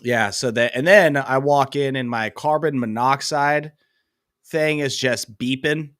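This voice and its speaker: A man's voice: mid-range voice, unhurried, fairly bright tone, no echo, clean studio sound. A young man with a head cold, rambling casually as co-host of a sports podcast, full of fillers and self-deprecating asides.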